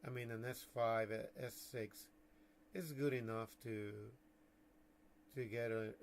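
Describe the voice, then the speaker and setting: A man of medium pitch talks casually to a livestream as an amateur radio operator. He thinks aloud slowly, in halting phrases. There is faint noise around his voice. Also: fairly even intonation.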